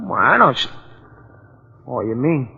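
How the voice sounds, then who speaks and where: An actor playing a simple, eager, folksy police sidekick with a gravelly voice and excitable slang, in old-time radio drama. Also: male voice, medium pitch, unhurried, exaggerated voice. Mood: puzzled, amazed. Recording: dull vintage radio recording, faint music.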